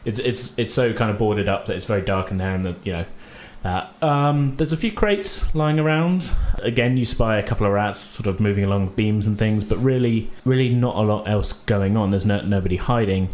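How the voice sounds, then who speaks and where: A man's voice: on the low side, fairly quick, hardly any pauses, a little mellow, slight background noise. A man narrating a tabletop role-playing game as game master, conversational, loosely describing things as he goes. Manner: conversational, hedging descriptions with "kind of" and "sort of".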